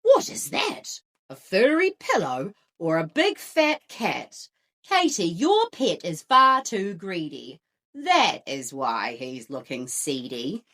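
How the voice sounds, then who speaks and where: Sensible storybook dad, read by a woman: firm, explanatory, animated pitch, unhurried. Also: full character voice. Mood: surprised, scolding. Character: sensible, scolding father of a young girl. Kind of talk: picture-book read-aloud.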